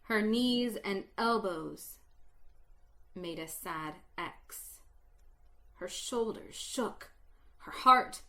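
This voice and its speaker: A woman reads a story aloud at a slow, unhurried pace. Her voice is bright and forward, and she lets dramatic pauses hang, dropping to a hush at the saddest moments. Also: mid-range voice. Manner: slow, dramatic pauses, hushed at sad parts. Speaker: reader. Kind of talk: storytelling reading.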